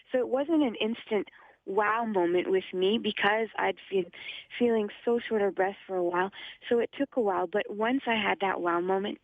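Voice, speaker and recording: A young woman from Ottawa with a slightly raspy voice, an upbeat guest calling in to a radio show and laughing often. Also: mid-range voice, dry recording. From Ottawa, Canada. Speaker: phone-in guest. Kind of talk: casual radio interview. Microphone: phone line. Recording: tinny dry phone line.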